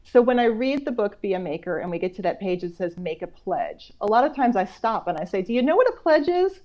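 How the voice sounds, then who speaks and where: A woman's voice: mid-range voice, sing-song, brisk. An American woman, a children's author, talking conversationally and enthusiastically in simple, child-friendly terms as an interview guest. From United States. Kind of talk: conversational interview chat about books. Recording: dull, lo-fi video call audio.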